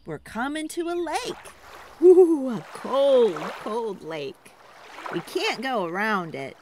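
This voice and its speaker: A woman reads a picture book aloud to children as a storyteller. Her voice sits fairly high and swoops in a very lively way. She takes the rhythmic lines at a slow pace, theatrically, inviting listeners to join in. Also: a little hiss or hum.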